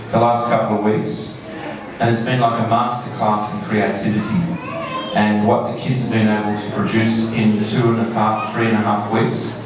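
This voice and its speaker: Low-voiced man in a very echoey hall. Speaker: school staff member introducing a show. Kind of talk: informal live introduction speech. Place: echoey indoor venue before an audience. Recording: echoey hall, distant mic, audience sounds.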